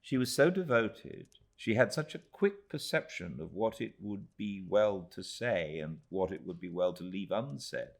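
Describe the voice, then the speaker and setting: A British man reads literary fiction in a low, creaky voice. His delivery is expressive and measured, with dramatic phrasing and wide swings of intonation. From Britain. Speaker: audiobook narrator.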